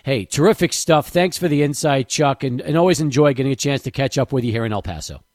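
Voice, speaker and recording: A man's voice: medium pitch, fast talker, no echo, studio quality. A man hosting a sports radio show, asking a guest chatty, leading questions.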